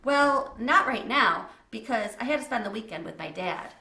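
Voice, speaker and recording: A woman's voice: on the high side, expressive, moderate pace, bright, forceful tone, echoey room, distant mic. A woman reading aloud as an earnest, literal-minded young boy in a storybook, speaking in a matter-of-fact, precise way. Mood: earnest, blunt.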